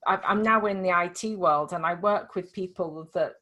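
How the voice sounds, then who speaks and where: A woman's voice: on the low side, moderate pace, sharp tone. Middle-aged woman, bit of a scouse accent, chatty tutor walking someone through a screen. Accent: slight Scouse. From Liverpool. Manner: chatty, demonstrative, many restarts. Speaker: dyslexia tutor. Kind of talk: casual screen-share tutoring session.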